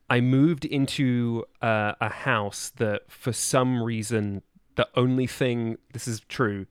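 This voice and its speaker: Halting man chatting casually, very dry sound. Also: medium pitch, clean tone, no room sound. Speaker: podcast guest. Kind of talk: casual chat.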